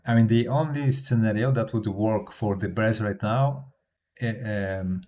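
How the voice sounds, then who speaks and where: A man's voice: fairly low pitch, a little slow, warm tone. A man from Europe, speaking English as a second language with a non-native accent, talking casually on a livestream with many fillers and restarts. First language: not English. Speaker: crypto livestreamer.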